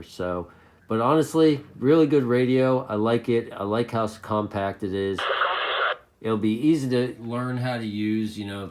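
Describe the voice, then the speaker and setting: Low-voiced man rambling through a casual review. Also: darkish tone, a little hiss or hum. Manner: rambling, repeats phrases. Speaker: radio hobbyist reviewer. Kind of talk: casual product review to camera.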